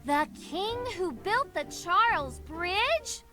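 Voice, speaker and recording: A woman's voice: very high-pitched, very animated, slow pace, music in the background, exaggerated voice. An actress playing a proud, theatrical puppet queen in a video game, speaking in a grand, declamatory way. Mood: questioning. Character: proud theatrical queen puppet who calls herself king. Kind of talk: storybook puppet-show acting in a video game.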